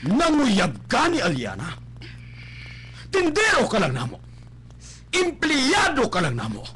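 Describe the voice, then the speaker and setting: A man's voice: very high voice, huge pitch swings, a little slow, a little hiss or hum, exaggerated voice. A man with a Filipino accent acting a domineering, snobbish rich father in a melodramatic radio drama, scornful and given to bellowing. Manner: theatrical, scornful, bellowing outbursts. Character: domineering, snobbish, wealthy father raging at his daughter. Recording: live stream with loud music bed. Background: loud dramatic music.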